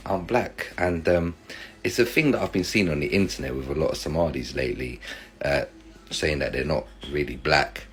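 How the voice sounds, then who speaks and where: A man's voice: very deep voice, moderate pace. A man from East Africa speaking earnestly in a reaction video. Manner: earnest, encouraging.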